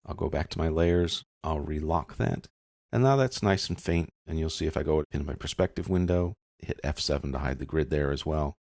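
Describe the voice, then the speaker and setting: Low, creaky-voiced male software instructor. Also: brisk, no room sound, clean studio sound. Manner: casual, step-by-step explaining. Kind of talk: screen-recorded software tutorial narration.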